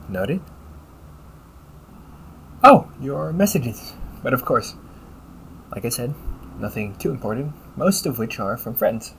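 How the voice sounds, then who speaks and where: A man playing a polite, caring, gently teasing AI companion in an intimate audio roleplay, speaking formally to the listener. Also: medium pitch, gravelly, low-quality recording. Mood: helpful, attentive. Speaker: voice actor. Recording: noticeable background noise.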